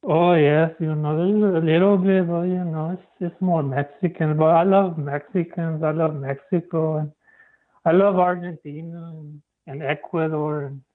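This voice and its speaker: A man's voice: fairly high pitch, steady tone, normal speed. A male caller on a call-in show, talking hesitantly and padding every phrase with "you know". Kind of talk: call-in phone chat. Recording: tinny phone line.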